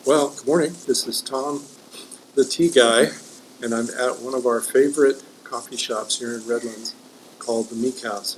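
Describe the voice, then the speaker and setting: A man's voice: mid-range voice, slight background noise, rough recording. A man hosting a casual interview in a coffee shop, friendly and curious, with many "uh"s between phrases. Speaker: host, tea company owner.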